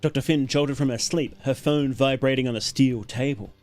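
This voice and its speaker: Guy streamer reading scary story, mid pitch, slow-building dramatic delivery, serious, some music behind. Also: steady tone, a little mellow. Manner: deliberate, solemn, short weighted phrases. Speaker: livestreamer and narrator. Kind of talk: dramatic horror story narration.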